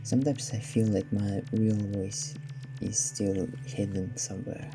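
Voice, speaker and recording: A man talking slowly and unhurriedly through a gameplay session, his delivery dry and sardonic with a faintly glum edge. He hesitates often and fills gaps with ums and uhs. Game music plays clearly behind him. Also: on the low side, flat delivery. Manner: sardonic, slightly down-sounding, hesitant with many fillers. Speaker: let's play gamer. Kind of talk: casual gameplay commentary.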